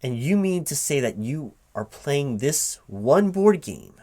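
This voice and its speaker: Man playing incredulous recruiting manager, ASMR job interview roleplay, unhurried delivery, average male pitch, halting, repetitive, stressed words. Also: big pitch swings, dark tone. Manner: halting, repeating himself, stressing words in disbelief. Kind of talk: one-sided ASMR roleplay, softly paced but spoken aloud, improvised.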